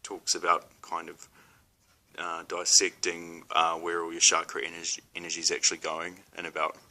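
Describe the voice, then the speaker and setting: A man's voice: sits low, completely flat delivery, moderate pace, pushed and bright. A young man with a New Zealand accent talks casually to camera, hesitant, with many ums and restarts. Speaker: spiritual vlogger.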